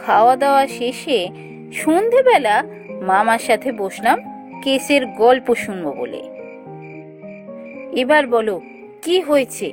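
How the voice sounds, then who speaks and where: Young narrator for ghost cartoon, played by a woman: high-pitched, eager, inquisitive, expressive, music underneath. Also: a little slow, pauses often. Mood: curious. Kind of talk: animated story narration and dialogue.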